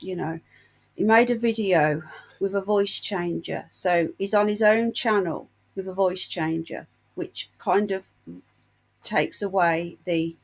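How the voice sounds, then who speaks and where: A woman with a mid-pitched voice hosts a casual livestream. She talks as if passing on outrageous gossip, with indignation running through it. She is unwell with a sore chest as she talks. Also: breathy edge, poor audio. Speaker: livestream host.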